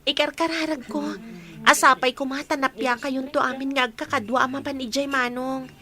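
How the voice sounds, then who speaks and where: A woman's voice: high voice, flat delivery, normal speed, bright, forceful tone. An actress playing a sweet, devout and respectful young woman with a Filipino accent in a radio drama. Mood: prayerful, tender.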